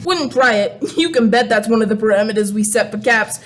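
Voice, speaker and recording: High-voiced sassy alien crayon, played by a woman; snide, scolding, comic radio play. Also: lively intonation, fairly quick, a little hiss or hum, natural voice. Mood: smug. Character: sassy, mocking alien crayon renegade visiting Earth. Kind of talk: comedic radio play acting.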